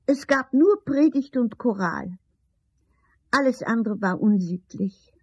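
Nostalgic fussy old lady, husky, slow, old radio play. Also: a little high, lively intonation, dry recording, heavily acted. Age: 80s in the role. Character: querulous, nostalgic eighty-year-old pious woman. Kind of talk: 1970s German radio play acting. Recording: dull, lo-fi old radio recording.

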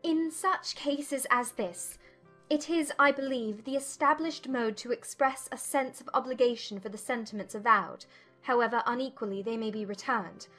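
An actress playing a spirited, proud young gentlewoman with formal, measured diction in an audiobook drama. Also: on the high side, faint music behind. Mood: cold, controlled.

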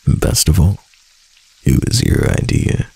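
A young man voicing a doting, clingy husband in a soft, intimate ASMR roleplay. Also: slow pace, dry recording, lightly acted. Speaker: voice actor.

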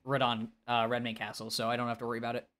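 A man's voice: mid-range voice, monotone, very fast, a bit bright. A man with a nasal voice, talking casually and expressively as he livestreams a game and answers chat, often self-deprecating.

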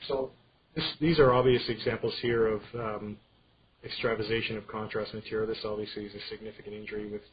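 Guy with a lowish voice doing a med slide lecture, kinda halting, muffled audio. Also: level tone. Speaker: radiology lecturer. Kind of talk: informal medical slide lecture. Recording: dull screen-capture audio with clear background noise.

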